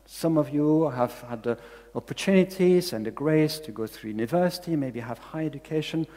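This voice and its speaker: A man's voice: fairly high pitch, moderate pace, warm tone, long reverb tail. A man from Belgium with a Belgian accent, preaching a sermon in English as a second language, earnest and repeating key phrases for emphasis. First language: not English. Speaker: pastor.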